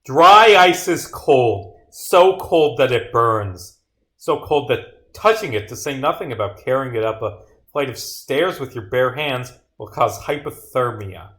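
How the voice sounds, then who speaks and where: A stern detective from a mystery game, read by a man. The mid-pitched delivery is deliberate and accusing, leaning hard on key words. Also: animated, a bit bright.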